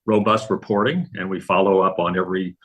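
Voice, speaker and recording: A man with an American accent giving measured interview answers as a government agency chief. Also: low voice, flat delivery, a bit bright, husky.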